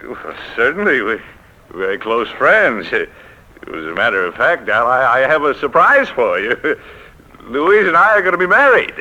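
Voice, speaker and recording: An actor playing a smug, gloating rival publisher in a 1940s radio romantic comedy. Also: male voice, mid-range voice, sing-song, moderate pace, pushed and bright. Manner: needling, self-satisfied, chuckling. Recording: muffled 1940s radio recording.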